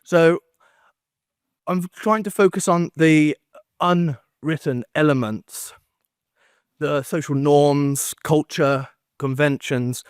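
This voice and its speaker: Wry, halting male conference speaker, dry sound. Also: on the high side, clear, resonant tone, no echo. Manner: self-deprecating, wry, halting delivery. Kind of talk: academic conference talk with joking asides. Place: conference room, speaking standing before an audience.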